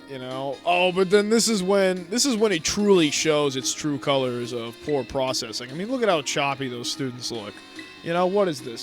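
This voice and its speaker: Joking, rambling let's play guy. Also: a little high. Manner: joking, rambling, swears, many fillers. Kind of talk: casual gameplay commentary.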